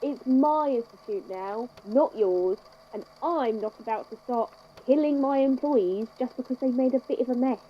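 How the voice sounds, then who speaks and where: Woman acting wry boss lady in an audio drama, measured and conversational, kinda dull home recording. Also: on the high side, a little hiss or hum, close to an everyday voice. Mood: wry, exasperated. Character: institute head, wry, composed, talking to an unseen entity. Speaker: podfic voice actor, institute head narrating a statement. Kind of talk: scripted audio drama monologue and dialogue.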